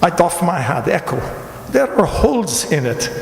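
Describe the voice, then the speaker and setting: An actor playing a sly, shabby fat Italian stranger in a radio drama, with an Italian accent and a courteous, theatrical, mock-humble manner. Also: male voice, on the high side, very animated, vocal fry. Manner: mock-humble, ornate, teasing, deliberate speech. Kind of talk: amateur radio drama acting. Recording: very echoey reverberant hall.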